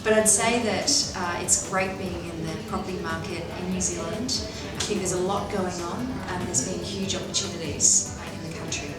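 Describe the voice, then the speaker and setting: A middle-aged woman, answering interview questions in a measured, businesslike way with frequent fillers and restarts. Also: on the low side, over loud music. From Australia. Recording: echoey room, far from mic, loud background noise.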